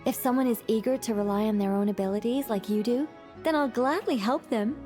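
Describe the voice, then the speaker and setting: Faint music plays behind a woman acting as an ageless female mage in a game cutscene. Her voice is fairly high, and she speaks theatrically, with wry self-assurance and a wistful, musing streak. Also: brisk, dark tone, close to an everyday voice. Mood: playfully proud. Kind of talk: scripted game cutscene dialogue. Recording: background music.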